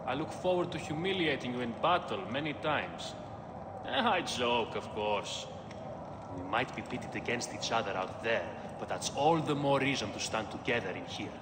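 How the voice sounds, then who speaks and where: A man's voice: mid-range voice, animated, normal speed, natural voice. A man voicing a wry, affable soldier turned gladiator in a video game, joking and frank. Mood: wry, friendly. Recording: echoey hall with background noise.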